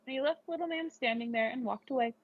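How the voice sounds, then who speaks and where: A woman's voice: high-pitched, quick pace, slightly rough. A woman reading a scripted children's story aloud. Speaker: storybook reader. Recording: dull lo-fi recording.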